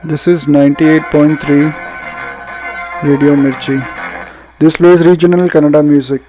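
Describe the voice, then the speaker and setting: A man with an Indian accent giving a casual, halting product demonstration as a radio reviewer. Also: fairly high pitch, level tone, poor audio. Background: radio music playing.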